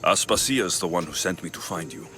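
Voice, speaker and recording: An actor playing a blunt young Spartan mercenary in a video game, speaking tersely and drily. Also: male voice, sits low, brisk, noisy background, lightly acted. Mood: guarded. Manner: terse, dry, matter-of-fact. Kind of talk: video game cutscene acting. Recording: game audio with loud score behind.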